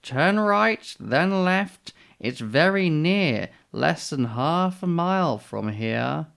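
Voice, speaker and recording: A sly male bird from a storybook, acted out by a man, speaks in a slow, drawn-out drawl. He is condescending and deliberate, with a false kindness laid over every word. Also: fairly high pitch, lively intonation, vocal fry, a bit bright, voice pushed up, exaggerated voice. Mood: sly persuasion.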